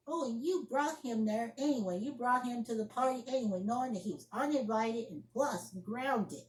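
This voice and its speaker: Woman acting an indignant young woman, higher-pitched, mellow tone, emphatic scolding, halting repetitions, amateur drama monologue. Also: close to an everyday voice. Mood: scolding, accusing. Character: indignant young woman defensively arguing with friend's mother. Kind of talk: solo amateur acted monologue, improvised. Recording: echoey room.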